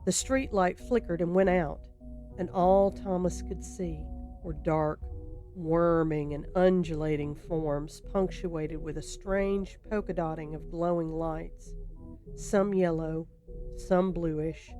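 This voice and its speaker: A woman narrating a scripted horror story in a deliberate, descriptive storytelling manner. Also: on the low side, fairly even intonation, dark tone, soft background music.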